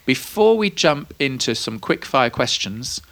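A man's voice: medium pitch, big pitch swings, measured pace, a bit bright, no echo. A British man hosting a podcast, chatting in a friendly, encouraging way and asking lots of tag questions. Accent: British English. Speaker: podcast host. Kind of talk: friendly interview chat. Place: indoor room at a youth music week.

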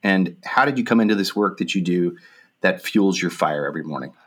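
A man's voice: sits low, a little fast. A man hosting an interview over a video call, asking long, encouraging questions. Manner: warm, encouraging, wordy questions. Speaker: interview host. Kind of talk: friendly interview.

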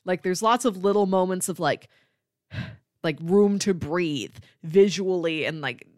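A woman's voice: on the low side, measured pace, darkish tone, no echo, clean studio sound. A woman co-hosting a film podcast, talking casually and thoughtfully, often peppering her sentences with "like". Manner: thoughtful, conversational, frequent "like" filler.

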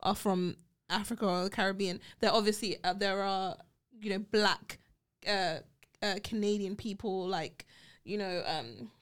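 A woman's voice: medium pitch, measured pace, smooth. A woman co-hosting a podcast who talks casually, hesitating and filling gaps with "um" and "like". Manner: hesitant, uses many fillers, trails off. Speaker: podcast co-host. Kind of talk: casual chat.

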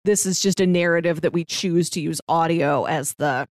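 A woman's voice: lowish voice, moderate pace, dry recording, clean studio sound. A woman co-hosting a review podcast, talking casually and analytically in an articulate, explanatory way. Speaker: podcast co-host reviewing audio dramas.

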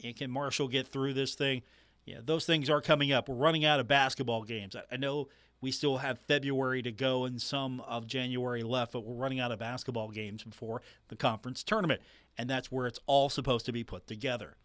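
A man's voice: mid-range voice, fairly even intonation, a little fast, a bit bright, dry recording. A man from West Virginia hosting a sports talk radio show in a conversational monologue, repeating phrases and putting questions to listeners. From Huntington, West Virginia, United States.

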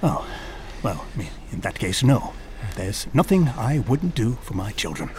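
Seasoned ship's officer, expressive range. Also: male voice, fairly low pitch, moderate pace, a little hiss or hum. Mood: concerned, reflective. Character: seasoned ship's officer, courteous, reserved, steady. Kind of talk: period audio drama acting.

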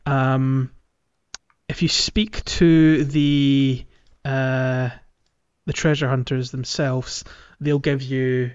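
Male podcast co-host, mid-pitched, casual gaming explainer, slightly hesitant, muffled lo-fi recording. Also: flat delivery, extremely slow, smooth. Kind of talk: casual video game chat, explaining quests. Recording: dull, lo-fi sound.

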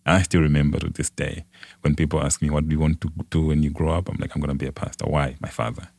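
A young man with a Malawian accent and an exceptionally deep voice. He tells his life story in a conversational way as a guest, in a dry recording with hardly any room sound. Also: level tone, quick pace, creaky, darkish tone, no echo. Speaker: podcast guest.

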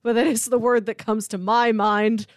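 A woman's voice: fairly high pitch, little pitch movement, moderate pace, no room sound, studio quality. A woman co-hosting a casual podcast, chatting in an enthusiastic, effusive way. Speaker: podcast co-host.

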